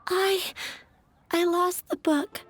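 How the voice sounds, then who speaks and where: Fretful young townswoman, extremely high plaintive voice, earnest game dialogue, faint music. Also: fairly even intonation, slow pace. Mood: worried, plaintive. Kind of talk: animated video game voice acting.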